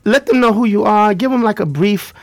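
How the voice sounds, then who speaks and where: High-pitched male radio host, effusive. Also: brisk, no room sound. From Haitian background. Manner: effusive, repeats phrases. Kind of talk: casual live radio hosting.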